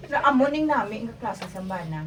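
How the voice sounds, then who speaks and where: A woman with an Ilonggo Filipino accent, in teasing, animated comic banter. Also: a little high, unhurried. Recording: distant mic with ambient noise.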